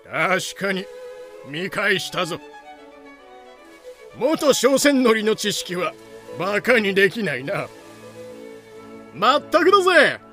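An actor playing admiring, rowdy pirate crewmen in an audio drama. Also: male voice, high voice, very animated, slow pace, pauses often, pushed and bright, full character voice. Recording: music bed behind voice.

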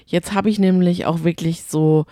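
Mellow, low-voiced woman chatting. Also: fairly even intonation, moderate pace, dry recording, studio quality. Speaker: podcast co-host. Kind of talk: casual chat recapping reality TV.

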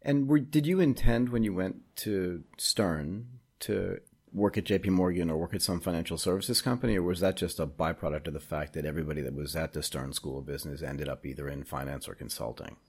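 Middle-aged male podcast host, chatty, very deep, husky voice. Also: fairly quick, hardly any pauses, a little mellow. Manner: chatty, friendly, asks long questions. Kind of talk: casual interview.

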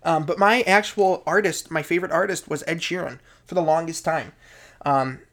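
A man's voice: fairly high pitch, moderate pace, fairly bright tone. A man co-hosting a casual podcast, chatting eagerly and repeating words for emphasis. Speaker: podcast co-host. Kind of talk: casual chat.

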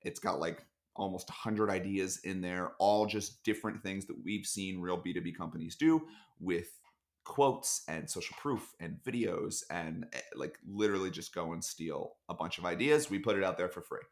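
A man as a podcast guest in casual chat, rambling with dark deadpan jokes over a video call. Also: low voice, moderate pace.

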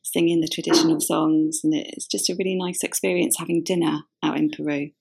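Gravelly-voiced woman podcast host. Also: low voice, flat delivery, fairly quick, a little mellow. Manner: friendly, encouraging questions. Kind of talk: podcast interview.

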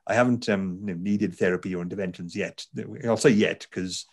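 A Welsh man with a fairly low voice talks through an interview. His talk is loose and chatty, full of "you know" and false starts. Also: steady tone, a little fast, a little hiss or hum. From South Wales. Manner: chatty, frequent fillers and restarts. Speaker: author, neuroscientist. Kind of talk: conversational interview.

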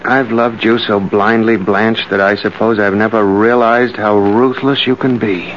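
A man's voice: on the low side, fairly even intonation, measured pace, lightly acted. An actor playing a weak-willed, anxious businessman who stammers and hesitates, in 1940s radio drama style. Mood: uneasy, doubtful. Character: weak-willed, nervous businessman under a schemer's thumb. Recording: noisy, muffled old radio recording.

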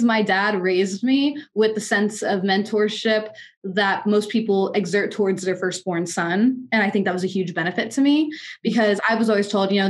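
A twenty-year-old woman from Kansas City, a music artist and executive, telling her story in an interview with earnest, articulate emphasis. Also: medium pitch. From Kansas City, United States. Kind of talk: candid conversational storytelling.

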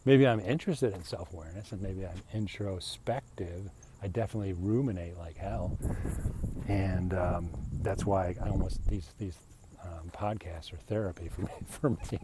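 A man's voice: sits low, moderate pace, noisy background. A man in his fifties talking casually on a solo podcast, rambling and self-deprecating, often tossing in "right?". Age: 50s. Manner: chatty, self-deprecating, frequent "right?" asides.

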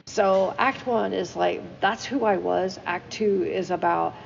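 A woman's voice: mid-range voice, unhurried, vocal fry, long reverb tail, lo-fi sound, slight background noise. A woman co-hosting a casual talk show, discussing music emphatically and repeating phrases for stress. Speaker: podcast co-host. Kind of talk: casual discussion of song lyrics.

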